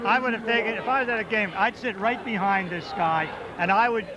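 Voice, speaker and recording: A man with a high, loud voice calls high school basketball play by play in short, rapid bursts. The sound is muffled old broadcast tape, and a noisy gym crowd roars behind him. He clearly roots for the home side. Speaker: play-by-play announcer. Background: crowd noise, ball bounces.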